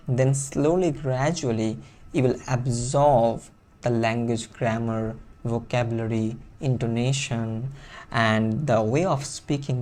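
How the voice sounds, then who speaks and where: A Bengali-accented man gives a motivational English lesson with an earnest, insistent delivery. He hammers points home by repeating phrases. His voice sits at a middle pitch with a mellow, dark tone. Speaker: English speaking coach. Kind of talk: motivational teaching monologue.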